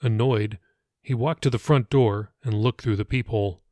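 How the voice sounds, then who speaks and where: A man's voice: medium pitch, fairly even intonation, moderate pace, dark tone, no room sound. A man reading his own fiction audiobook as a scripted narration.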